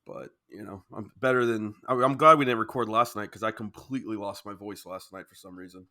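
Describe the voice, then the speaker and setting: A man's voice: fairly low pitch, a little fast, pushed and bright. A young man hosting a casual podcast, joking around and getting over a sinus infection. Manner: jokey, says "y'all" a lot. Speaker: podcast host. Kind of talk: casual chat.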